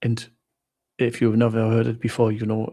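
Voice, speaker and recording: A German man with a German accent hosting a casual live question-and-answer stream, rambling with halting restarts and fillers. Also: lowish voice, completely flat delivery, mellow. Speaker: livestream host answering viewer questions.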